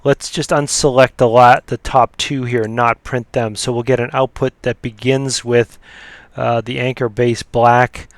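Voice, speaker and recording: A man giving an unscripted software demo in a halting, matter-of-fact way as he explains each step. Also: medium pitch, little pitch movement, no echo. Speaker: software demonstrator. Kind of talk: unscripted screen-recorded software tutorial.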